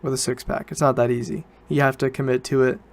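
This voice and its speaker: A man's voice: mid-range voice, level tone, fast. A young man, student age, talking casually and informally as a solo podcast host, rambling and correcting himself as he goes. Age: teens to young adult student.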